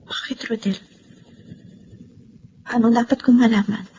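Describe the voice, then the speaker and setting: Tearful, high-voiced young woman in mourning. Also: steady tone, darkish tone, husky, highs cut off, exaggerated voice. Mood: bitter. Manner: tearful, slow, emotional delivery. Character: grieving, betrayed young woman, orphaned in a crash. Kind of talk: Filipino radio-style melodrama acting.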